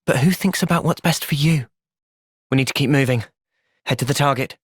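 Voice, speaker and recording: A man's voice: mid-range voice, brisk, slightly rough, no room sound, clean studio sound, lightly acted. A young man with a British accent playing an earnest, thoughtful, polite and determined young hero in video game cutscenes.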